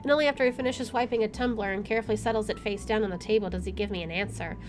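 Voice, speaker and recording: A woman's voice: mid-range voice, fairly even intonation, racing. A woman doing a let's play, reading story text aloud expressively with informal asides. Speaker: gaming YouTuber. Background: game music.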